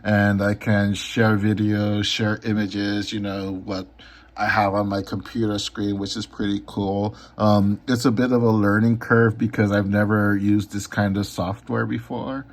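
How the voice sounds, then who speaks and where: A man talking casually in a vlog about his comics and live streams, unhurried, with many ums. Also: lowish voice, flat delivery. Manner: unhurried, lots of ums and filler. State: a little hoarse. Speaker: comic artist and YouTuber.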